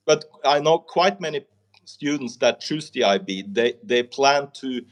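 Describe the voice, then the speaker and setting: Middle-aged Norwegian man, accented English, mid pitch, halting with frequent restarts. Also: expressive. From Norway. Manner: halting, many repeated words and restarts. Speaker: teacher, interview guest. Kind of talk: conversational interview.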